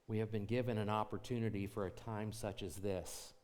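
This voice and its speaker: A man preaching a sermon as pastor of a church, moving from quiet reflection to rising, emphatic exhortation with repeated phrases and rhetorical questions to the congregation. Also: fairly low pitch, fairly even intonation, fairly quick. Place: church sanctuary.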